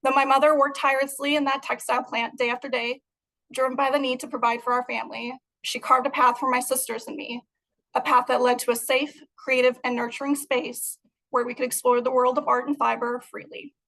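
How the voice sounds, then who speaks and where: High-voiced woman presenting her art. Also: flat delivery, a little fast, fairly bright tone, low-quality recording. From Moldova. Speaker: guest artist. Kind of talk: prepared presentation talk. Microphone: video call.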